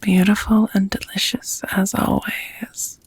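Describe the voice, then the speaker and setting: A woman playing a seductive, doting vampire roommate in an intimate ASMR romance roleplay, whispering softly with teasing, formal endearments. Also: mid-range voice, level tone. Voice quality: soft, breathy whispering. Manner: slow, sultry, formal wording with pet names.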